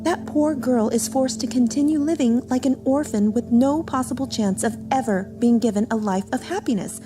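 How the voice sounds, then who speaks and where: A woman's voice: fairly high pitch, moderate pace, over music, natural voice. An actress playing a determined woman who speaks earnestly and indignantly about adopting a girl.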